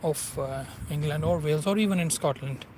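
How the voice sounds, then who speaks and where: A man from Punjab in Pakistan with a Pakistani accent, narrating a walking tour by a river in a halting way with many fillers. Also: on the high side. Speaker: vlogger. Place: outdoors by a river. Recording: loud outdoor noise. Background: loud outdoor ambience with traffic.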